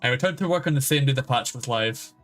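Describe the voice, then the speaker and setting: A man's voice: medium pitch, quick pace, a bit bright. Sweary, rambling man with music behind. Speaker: game streamer. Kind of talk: casual stream chat. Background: background game music.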